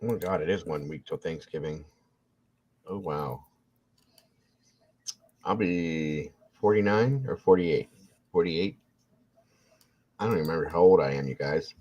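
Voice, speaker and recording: Deep-voiced man in his forties, chatty. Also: a little slow, long pauses, a little mellow. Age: 40s. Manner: reads out chat, chatty and musing. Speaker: livestream co-host. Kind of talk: casual live chat. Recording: dull lo-fi audio.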